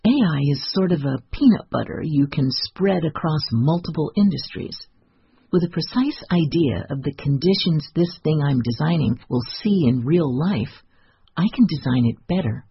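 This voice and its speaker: Husky man reading aloud, dull dry recording. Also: a little high, animated, normal speed, dry recording. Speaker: narrator. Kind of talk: scripted read-aloud of an article with quotes.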